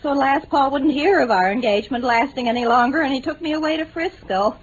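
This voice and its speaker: An actress playing a candid, warm-hearted young American bride in mid-century radio drama, earnest and folksy. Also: female voice, sits high, quick pace, slight background noise, lightly acted. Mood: earnest, storytelling. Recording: muffled old radio recording.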